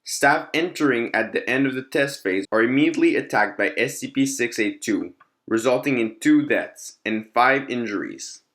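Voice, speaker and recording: Male narrator, mid-range pitch, formal and measured, for scripted documentary-style fictional file readings. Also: animated, a bit bright.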